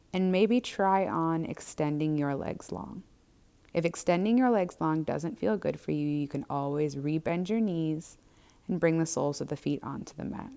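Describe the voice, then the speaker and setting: Female meditation guide: low, smooth and resonant, with gentle, measured, soothing delivery. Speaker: yoga and meditation instructor. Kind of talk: guided breathwork and body scan instruction.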